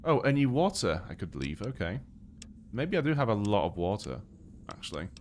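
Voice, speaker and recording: A man's voice: fairly low pitch, normal speed, slight background noise. A man from Poland with a British accent, born Polish-speaking, chatting casually as he plays a game on a live stream and thinks aloud. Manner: relaxed, thinking aloud while playing.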